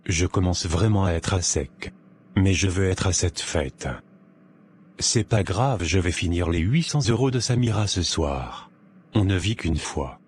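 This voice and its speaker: Deep-voiced, vain broke young man acted by a man. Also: expressive, a little slow, slight background noise. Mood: casual, evasive. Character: vain, broke young office man living beyond his means. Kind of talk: animated moral tale dialogue.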